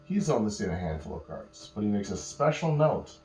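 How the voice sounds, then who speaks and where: A man telling an improvised bedtime story to children, patiently explaining words and pausing mid-phrase as he goes. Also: on the low side, big pitch swings, a little mellow, faint music behind. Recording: roomy echo, faint electrical hum.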